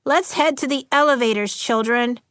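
A woman voicing a brisk, dismissive female substitute teacher in an audiobook, airily brushing off worries. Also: fairly high pitch, a bit bright, no echo, clean studio sound, close to an everyday voice.

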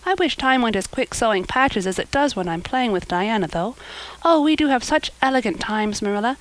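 A woman voicing a breathless, excitable and dreamy young girl in a storybook, chattering and gushing dramatically. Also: fairly high pitch, a little fast, pitched above the performer's own voice, lightly acted, rough recording. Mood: wistful. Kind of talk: audiobook character acting.

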